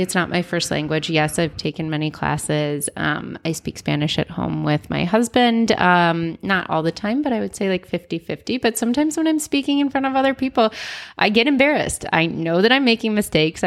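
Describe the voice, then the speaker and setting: Woman cohosting a podcast, lowish voice with a bit of fry, chatty and upbeat. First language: English. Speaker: podcast co-host. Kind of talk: casual conversation.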